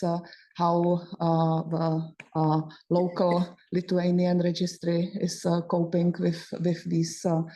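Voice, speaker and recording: Woman, panel moderator, flat low voice, mellow, measured. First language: Czech. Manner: measured, steering the discussion. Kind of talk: panel discussion.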